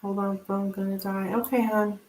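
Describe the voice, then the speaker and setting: A woman hosting a casual live tarot reading, speaking informally and haltingly as if thinking aloud. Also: mid-range voice, little pitch movement, a little mellow. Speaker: tarot reader, live stream host. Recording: roomy, echoing live stream sound.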